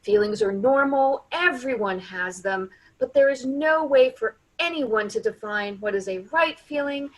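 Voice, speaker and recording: An actress plays a prim grief counselor in a dark stage comedy. Her voice is high, and she is polite, formal and hesitant, clinging to procedure while flustered. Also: a little slow, few pauses, poor audio. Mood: prim, procedural.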